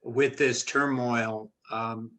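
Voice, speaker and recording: A man hosting a faculty interview, friendly and a bit halting with restarts. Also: mid-range voice, fairly even intonation, fairly bright tone. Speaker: interview host, business law lecturer.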